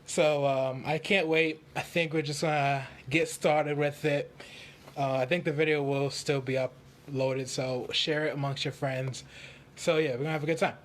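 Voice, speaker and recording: A man hosting a children's storytime in casual livestream chat, with a goofy, joking manner and drawn-out delivery. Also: on the high side, fairly even intonation.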